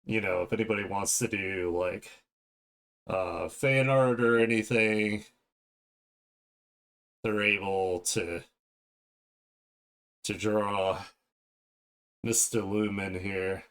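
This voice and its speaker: Man, lowish pitch, slow-paced, creaky, casual streamer chat, playful, self-deprecating, thinking out loud. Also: fairly even intonation. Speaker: streamer and illustrator. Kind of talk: casual livestream chat while drawing.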